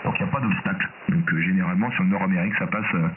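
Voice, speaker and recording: A man's voice: low-pitched, fairly even intonation, racing, music in the background. A man from France with a metropolitan French accent, chatting casually as an amateur radio operator. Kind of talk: casual ham radio chat with spelled call signs. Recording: noisy, narrow-band, distant shortwave radio. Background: radio static and clicks.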